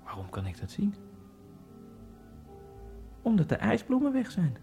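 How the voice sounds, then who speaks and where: A man narrating a radio drama in the first person, in a halting, fragmented inner monologue with a gravelly voice. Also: high voice, wildly swooping pitch, dark tone. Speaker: narrator and main character. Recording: radio drama with faint music.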